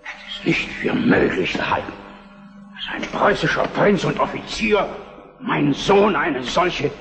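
A man's voice: fairly high pitch, lively intonation, unhurried, creaky, fairly bright tone, faint music behind, exaggerated voice. An actor playing an irascible, stern old Prussian king and father, commanding and blustering in a German historical radio drama. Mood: outraged. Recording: radio play in echoing hall.

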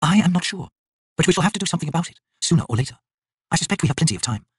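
A stern young shaman of a fantasy tribe, voiced by a male narrator. He sounds cold and urgent, with a husky edge and lively, forceful pitch swings. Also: rapid-fire, no room sound. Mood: grim.

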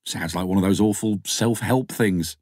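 A man's voice: fairly low pitch, moderate pace, darkish tone, no room sound, studio quality, close to an everyday voice. A man playing a wry, smitten, insistent male lover in an audiobook, with an earnest edge.

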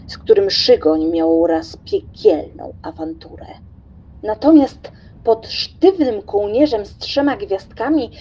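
Slow, dark, husky woman narrator. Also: medium pitch, highs cut off. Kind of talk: scripted radio play narration.

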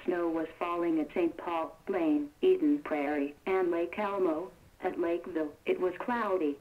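A woman's synthetic voice reading current weather conditions on a weather radio broadcast, in a flat, evenly paced, mechanical delivery. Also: fairly low pitch. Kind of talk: automated weather observation reading. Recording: tinny phone-like radio broadcast.

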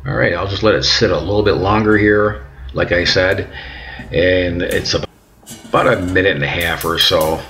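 A middle-aged man giving calm, patient step-by-step instructions at home, often repeating words and checking in with "okay". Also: deep voice, moderate pace. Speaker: instructor demonstrating decal application. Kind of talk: step-by-step how-to narration. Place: home kitchen and workbench indoors.

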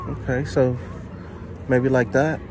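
A man's voice: medium pitch, flat delivery, slow pace. A man who is a YouTuber, filming himself and chatting casually and enthusiastically at an outdoor market, often saying "wow". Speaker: YouTuber host. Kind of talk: casual vlog chat. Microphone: handheld camera he is filming with. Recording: outdoor crowd noise behind. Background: crowd and passing vehicles.

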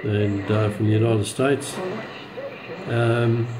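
A man giving a casual, unscripted product demonstration in a halting way with frequent pauses. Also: lowish voice, little pitch movement, crowd noise, low-quality recording. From Australia. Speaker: radio enthusiast presenting a receiver. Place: home kitchen, indoors.

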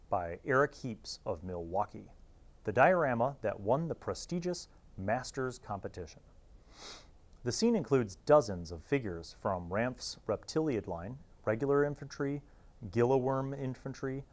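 Man, informal reviewer. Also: medium pitch. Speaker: host reviewing old gaming magazines. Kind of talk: casual review with read-aloud excerpts.

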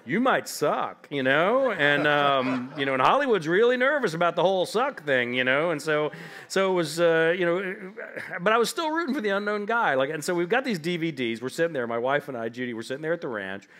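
A man's voice: on the high side, big pitch swings, brisk, a bit bright. A man in his fifties, an author telling funny anecdotes on a library stage before a seated audience, rambling with lots of "like" and "you know". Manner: rambling, humorous, heavy on "like" and "you know" fillers. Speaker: author guest.

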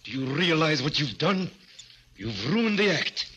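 A domineering, scolding showman in a 1940s radio thriller. He is threatening and emphatic, with a sharp voice that swings widely in pitch over faint old-recording noise. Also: very animated, measured pace, highs cut off, full character voice. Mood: angry, threatening. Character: domineering, scolding male stage partner of a dwarf.

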